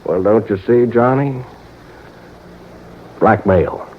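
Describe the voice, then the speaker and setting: Through a thin, narrow vintage radio recording comes a crafty old country insurance agent. He has a rural American accent and tells things in a folksy, halting, drawn-out way, nervous underneath, in old-time radio drama style. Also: lowish voice, slight background noise. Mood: explaining. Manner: folksy, hesitant, drawn-out storytelling.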